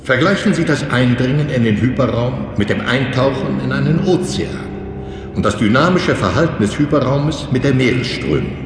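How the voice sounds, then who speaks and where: An actor playing a methodical professor, formally explaining in the didactic style of a sci-fi audio drama lecture. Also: male voice, mid-range voice, expressive, normal speed, lightly acted. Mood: patient, explanatory. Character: male professor lecturing trainee pilots, instructive and methodical. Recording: echoey lecture hall, music underneath.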